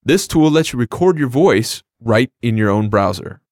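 A man's voice: medium pitch, expressive, moderate pace, a little mellow, dry recording. A man co-hosting a scripted language lesson, explaining phrases in an upbeat, plain way. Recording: dry studio recording.

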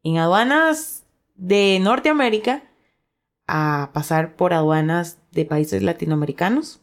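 A woman's voice: on the low side, animated, a little slow. A woman co-hosting a language lesson, presenting words and example sentences.

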